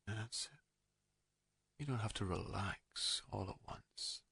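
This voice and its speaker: Calm guy doing guided meditation, real low voice w some fry, gentle and soothing, super dry close sound. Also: slow pace, no echo. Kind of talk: slow guided meditation, hypnotic narration.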